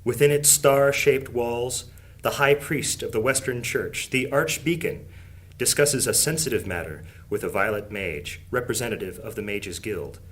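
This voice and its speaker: A man's voice: medium pitch, normal speed, fairly bright tone, natural voice. A man narrating a fantasy audio drama in a grand, scripted storytelling manner.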